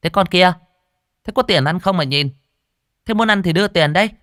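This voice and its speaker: A man's voice: huge pitch swings, brisk, fairly bright tone, husky, no echo, clean studio sound, exaggerated voice. A man voicing a fat, foul-mouthed, furious woman who runs a rice eatery, scolding and cursing in barked questions. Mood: irritated, scornful. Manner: shrill cursing, scolding, barking rhetorical questions.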